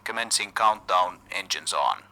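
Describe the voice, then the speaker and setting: A man's voice: low voice, animated, moderate pace, vocal fry, bright, forceful tone. A man delivering a scripted countdown radio call through a distorted walkie-talkie filter.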